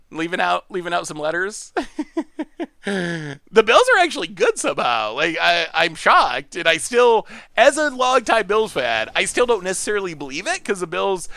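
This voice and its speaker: A man from upstate New York, hosting a live game stream and chatting with viewers in an excitable, laughing way. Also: high voice, gravelly, no room sound. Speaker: streamer host.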